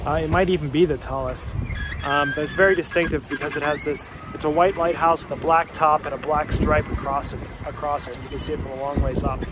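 Young man, casual sailing vlog, fairly high voice, filler-heavy chat, phone mic, loud wind and boat noise. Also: a little fast. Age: young adult. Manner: relaxed, chatty, many ums and uhs. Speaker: sailing vlogger. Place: on a sailboat out on open water. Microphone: phone held at arm's length.

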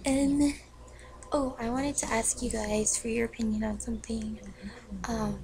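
A young woman in her teens rambling in a hyper, filler-heavy way in a casual bedroom webcam rant, her voice low and rough from the cold. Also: little pitch movement, unhurried, darkish tone. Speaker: vlogger. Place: bedroom, indoors. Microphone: built-in webcam mic. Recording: noisy webcam recording with TV behind. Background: TV playing in the background.

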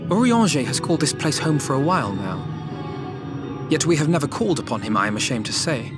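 A man's voice: a little high, very animated, a little fast. An actor playing an earnest, courteous young elven nobleman in a video game, with formal, archaic diction. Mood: rueful. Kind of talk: dramatic video game voice acting. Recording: music behind the voice.

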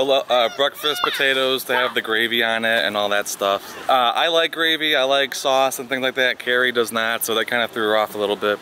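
A man's voice: medium pitch, pushed and bright, a little hiss or hum. A man in his thirties talking casually and upbeat to viewers as a vlog host, recorded on a handheld camera. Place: outdoor resort walkways, some restaurant interior. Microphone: handheld camera at arm's length. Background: music.

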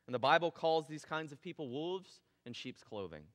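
Lively high-voiced pastor preaching in a hall. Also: male voice, normal speed. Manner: casual, animated preaching with gestures. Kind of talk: sermon. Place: church stage with drum kit. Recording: long hall reverb in church.

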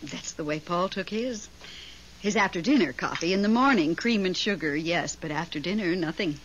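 A woman's voice: on the low side, lively intonation, moderate pace, close to an everyday voice. A woman playing a genteel, chatty widow pining for her late husband in a 1970s radio drama. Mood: chatty, reminiscing. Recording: dull, faintly noisy 1970s radio.

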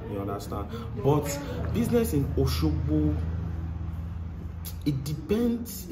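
A man's voice: medium pitch, rough recording. Earnest Nigerian man outdoors. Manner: earnest, gestures a lot, repeats phrases for emphasis. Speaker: mechanic. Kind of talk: casual interview answers. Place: outdoor workshop by a tiled wall. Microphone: lapel mic. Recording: clear outdoor background noise.

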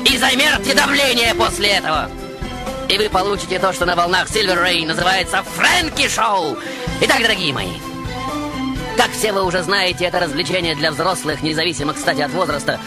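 A man's voice: high-pitched, fairly quick. A man hosting a radio show with a theatrical monologue, grandiose and playful in his delivery. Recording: radio show over prominent music. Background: music.

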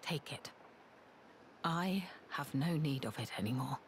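A woman's voice: very deep voice, expressive, unhurried, slight background noise. A woman playing a stern, proud winged warrior in a video game, speaking in a grave, commanding manner. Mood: grave, resolute. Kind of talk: video game cutscene acting.

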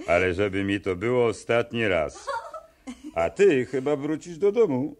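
An actor playing an excitable, officious policeman in a children's audio play, with a blustering manner. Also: mid-range voice, measured pace, crowd noise, lightly acted. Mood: grudging, officious.

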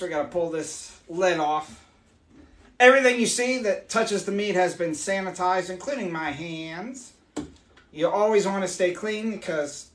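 Hoarse man, 40s, higher voice, upbeat live demo, echoey small room, phone camera. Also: a bit bright. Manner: chatty, upbeat, explains as he works. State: hoarse, losing his voice. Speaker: meadery owner hosting a live stream. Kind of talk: casual live demonstration. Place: small home meadery room, indoors.

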